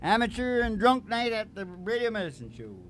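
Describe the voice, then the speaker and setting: A man, probably middle-aged, talking as a radio show host in casual, irreverent comic banter, rambling and halting as he goes. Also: sits high, sing-song, a bit bright, slight background noise.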